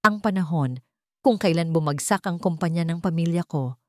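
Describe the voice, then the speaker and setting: Woman voicing a cold, vengeful businessman, low-pitched. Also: no room sound, clean studio sound, lightly acted. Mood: cold, commanding. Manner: deliberate, menacing. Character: cold, commanding vengeful businessman, calm but dangerous.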